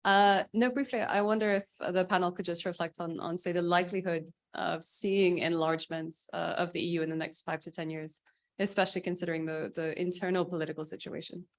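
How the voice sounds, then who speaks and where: A woman with a loud voice asking an apologetic question from a conference audience. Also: lowish voice, fairly even intonation. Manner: apologetic, quick. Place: conference hall.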